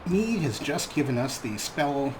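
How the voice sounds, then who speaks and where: A man's voice: medium pitch, sing-song, unhurried, background noise. A man hosting a gaming let's play, commenting in character with theatrical comic role-play.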